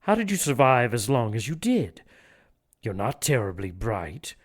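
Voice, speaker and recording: Smug evil bishop for a radio play, talks down to everyone, pompous and taunting, mid pitch. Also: male voice, very animated, a little slow. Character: gloating male villain bishop plotting apocalyptic sacrifice. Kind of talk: audio drama acting.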